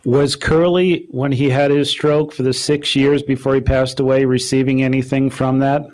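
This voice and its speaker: A man's voice: medium pitch, level tone, normal speed, darkish tone. A man hosting a live meeting, passing audience questions on to a phone guest in a casual, friendly way. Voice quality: repeats questions aloud for the caller. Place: meeting room.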